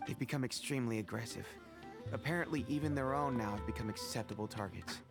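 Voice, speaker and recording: An actor playing a steady male mercenary crew leader in a game, giving a measured, serious briefing over game music. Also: mid-range voice, lightly acted. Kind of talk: game voice acting. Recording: game audio with music behind.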